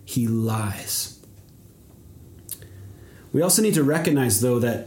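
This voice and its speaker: A young man from Canada preaching a sermon in an earnest, measured way, often repeating words as he goes. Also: medium pitch, a little mellow. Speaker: pastor. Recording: echoey room.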